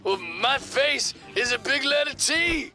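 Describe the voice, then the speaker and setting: A man's voice: extremely high pitch, lively intonation, measured pace, pushed and bright, faint music behind, full character voice. An actor playing a cocky, rambling drunk man in a crime video game. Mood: drunk, boisterous.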